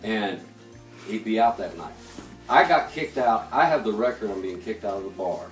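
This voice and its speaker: A middle-aged man telling loose, profane anecdotes to friends in an animated way. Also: on the low side, moderate pace. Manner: animated, profane, laughing through stories, quoting people. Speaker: storyteller. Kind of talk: casual anecdote among friends. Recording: echoey room, far from mic, music behind.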